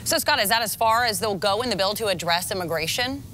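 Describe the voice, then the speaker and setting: A woman's voice: mid-range voice, animated, quick pace, sharp tone, slight background noise. An American woman reading scripted television news as an anchor.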